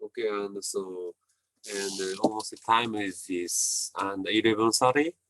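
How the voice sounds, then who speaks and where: A man's voice: on the low side, steady tone, unhurried, a bit bright, a little hiss or hum, low-quality recording. A man with a Japanese accent, co-hosting a casual chat and searching hesitantly for English words. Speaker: co-host. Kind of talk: casual chat over drinks.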